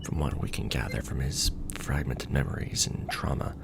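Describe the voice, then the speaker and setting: A man acting a wry, casual host of an alien symbiote in an ASMR roleplay, with a breathy, creaky voice. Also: extremely low pitch, moderate pace, heavily acted. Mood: troubled. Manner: casual, wry, hesitant in explanations. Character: weary, joking young man bonded to an alien symbiote.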